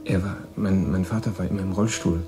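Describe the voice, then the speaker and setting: A man's voice: low voice, fairly even intonation, fairly quick, close to an everyday voice. An actor playing a guarded, lonely American runaway teenage boy in a German radio drama. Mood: wistful, reflective. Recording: music behind the voice.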